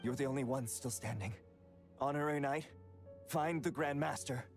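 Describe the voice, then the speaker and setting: A man's voice: medium pitch, moderate pace, natural voice. A man voicing a brusque, cocky veteran knight and loyal comrade in a video game. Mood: brusque concern. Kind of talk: dramatic video game acting. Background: game music.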